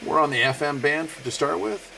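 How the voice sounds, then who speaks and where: A man's voice: on the high side, fairly quick, gravelly, noisy background. A man giving a casual product review in a folksy, easygoing way.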